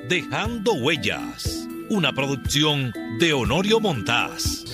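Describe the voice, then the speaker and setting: A male radio announcer delivers slogans in a lively, swooping voice. A music bed plays clearly behind him. His tone is emphatic and scripted. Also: mid-range voice, slow pace. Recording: voice over loud music bed.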